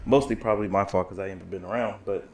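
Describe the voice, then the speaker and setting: A man's voice: deep voice, steady tone, fast, a little hiss or hum. A man co-hosting a casual podcast, teasing and playful with his co-host. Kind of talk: casual chat.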